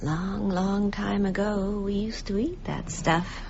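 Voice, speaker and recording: Slow, creaky-voiced gentle librarian. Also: female voice, mid-range voice, fairly even intonation. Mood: gentle, nostalgic. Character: gentle, nostalgic middle-aged woman librarian. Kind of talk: broadcast radio drama. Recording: muffled, noisy radio drama recording.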